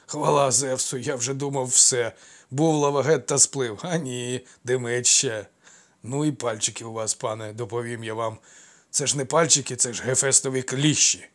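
A man voicing an old male ex-soldier, out of breath and wheezing, talking loud and urgent. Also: mid-range voice, normal speed, close to an everyday voice. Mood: rambling, breathless.

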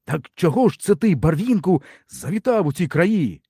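Hearty rural tractor driver for a storybook, male reader acting, slightly raised, animated, jovial. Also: sits high, moderate pace, husky, dry recording, clean studio sound, pitched above the performer's own voice, heavily acted. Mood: cheerful boasting. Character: hearty friendly grown man, village tractor driver.

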